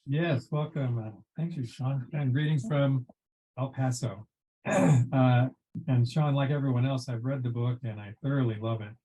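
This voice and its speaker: A man in an audience giving appreciative feedback in a measured, earnest manner. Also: medium pitch, steady tone, dark tone, husky, rough recording. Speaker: audience member.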